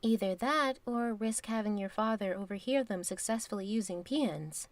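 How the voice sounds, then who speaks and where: A woman playing a composed, knowing divine being in an audio drama, with frank, measured and formal phrasing. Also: medium pitch, no room sound, natural voice. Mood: explaining.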